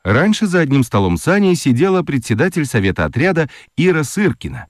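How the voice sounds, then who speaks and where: A man narrating a children's radio play in a gently ironic, highly expressive storytelling manner. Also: a little fast, no echo, studio quality.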